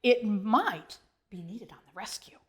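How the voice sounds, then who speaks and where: A woman's voice: medium pitch, lively intonation, a little slow, lightly acted. A woman voicing an eager, good-hearted male rescue dog in a children's storybook, with a howling, exclaiming delivery. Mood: pleading. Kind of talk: children's storybook character reading.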